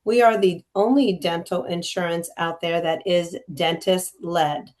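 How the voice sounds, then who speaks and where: A woman insurance representative with a raspy voice today, speaking in a friendly, chatty way on a video call. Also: fairly low pitch, steady tone, measured pace, darkish tone.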